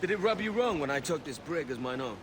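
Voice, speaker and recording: A man's voice: a little high, expressive, fast talker, noisy background, lightly acted. A man playing a brash, roguish young pirate captain with a Welsh accent in a video game. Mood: easygoing, wry. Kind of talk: cinematic video game acting.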